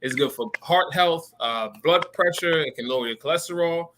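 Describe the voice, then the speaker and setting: A man with a bright, forward voice explains his cooking plainly and earnestly to live-stream viewers. A faint noise runs underneath. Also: on the high side, normal speed. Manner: friendly, earnest, explains simply. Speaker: private chef guest.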